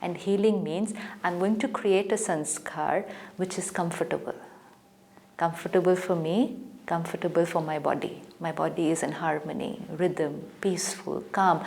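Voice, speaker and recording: A woman with an Indian English accent, calmly explaining spiritual ideas in a gentle, measured way as the teacher on a TV talk show. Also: fairly low pitch, creaky. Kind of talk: calm spiritual guidance in a TV talk.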